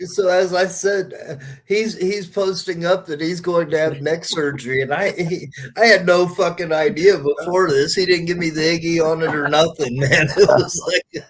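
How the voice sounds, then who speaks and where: A man's voice: on the high side, fairly even intonation, normal speed, fairly bright tone, a little hiss or hum. A man hosting a podcast in a casual, heartfelt way, swearing freely and talking to listeners like friends. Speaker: podcast host. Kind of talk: casual heartfelt monologue and chat.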